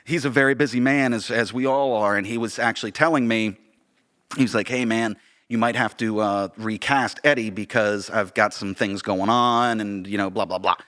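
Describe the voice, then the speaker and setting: A man's voice: fairly low pitch, steady tone, moderate pace, fairly bright tone. A man chatting casually and enthusiastically on a podcast as a theater director and actor, with emphatic delivery.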